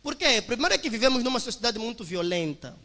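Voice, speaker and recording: A young man with an Angolan Portuguese accent speaks in a bright, ringing voice. He gives a forceful motivational testimony to a congregation, calling them brothers. His words carry a long echo from a church hall. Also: very high voice, very animated, quick pace. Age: 20s. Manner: emphatic, preacher-like, addresses listeners as brothers. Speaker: young business owner giving a testimony.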